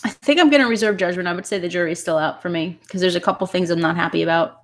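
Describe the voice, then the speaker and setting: Low-voiced woman hosting a podcast. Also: rapid-fire. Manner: relaxed, hesitant with fillers, chuckles. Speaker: podcast host. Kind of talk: casual podcast chat.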